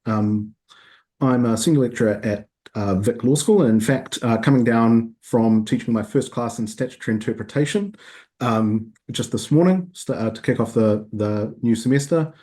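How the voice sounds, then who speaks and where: A man who is a senior law lecturer, giving a measured, formal submission over a video call with frequent ums. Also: medium pitch, mellow.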